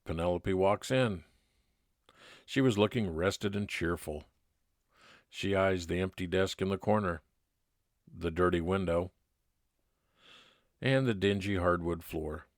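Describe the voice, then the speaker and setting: A man playing a kindly, laconic 1950s private detective, narrating his case in old-time radio drama style with measured, plain-spoken delivery. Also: sits low, no echo, clean studio sound. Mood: reflective. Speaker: narrator and lead actor.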